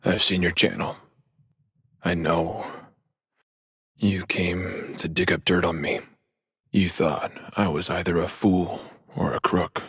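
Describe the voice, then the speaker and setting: A grave, earnest young male politician in a video game scene. His low voice is very husky and breathy, with little melody and somber restraint. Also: fairly even intonation, slow, pauses often, fairly bright tone, no room sound, studio quality, full character voice. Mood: somber, resolute. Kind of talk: video game cutscene acting.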